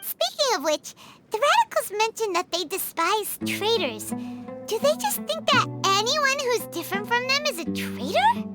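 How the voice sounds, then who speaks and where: Game fairy companion: squeaky child voice, very animated, earnest and eager. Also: female voice, moderate pace. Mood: curious. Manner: perky, earnest, speaks as "we". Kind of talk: video game voice acting. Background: music.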